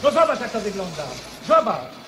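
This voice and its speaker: A man's voice: sits high, very animated, fairly quick, a crowd in the background, noisy background. A man playing a stern, irate factory foreman in a radio drama. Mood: angry, scolding.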